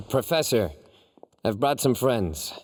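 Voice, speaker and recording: A man voicing a composed, dry-humored young investigator in a video game, measured and deadpan. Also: mid-range voice, slight background noise. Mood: composed, formal.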